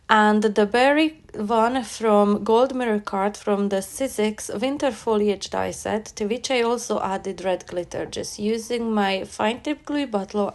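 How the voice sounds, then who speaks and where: Woman with a nasal head-cold voice. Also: medium pitch. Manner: chatty, enthusiastic, self-correcting. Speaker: craft tutorial host. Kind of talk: voice-over craft demonstration.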